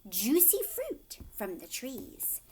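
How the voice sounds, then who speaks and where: Woman reading as eager, shouting storybook baboons. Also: fairly high pitch, huge pitch swings, takes it slowly, breathy edge, full character voice.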